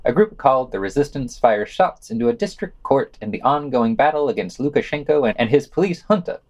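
A man's voice: medium pitch, big pitch swings, brisk, gravelly, a little mellow, highs cut off. A man reading scripted podcast news, adding short asides as he goes.

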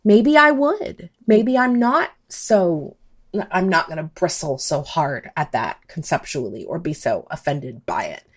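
A woman with a low-pitched voice talks casually in a podcast discussion. She weighs ideas tentatively, repeating words as she works toward her point. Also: lively intonation, a little slow, breathy edge.

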